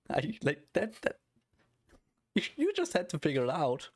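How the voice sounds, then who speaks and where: A man's voice: a little high, huge pitch swings, takes it slowly. A man from Denmark with a Danish accent, chatting casually on a live stream while he codes, blunt, swearing often and laughing.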